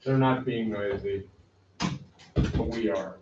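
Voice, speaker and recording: A man teaching a math class, giving loud, direct, brisk instructions to his students. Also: fairly low pitch, noisy background. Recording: echoey classroom, dull lo-fi sound.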